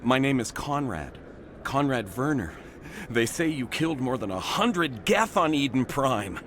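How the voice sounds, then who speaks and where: A man's voice: mid-range voice, big pitch swings, measured pace, a bit bright. A man playing a starstruck, awkward fan in a video game, gushing and nervously hesitant. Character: starstruck, awkward male fan of a war hero. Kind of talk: video game character acting. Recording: clear background ambient noise.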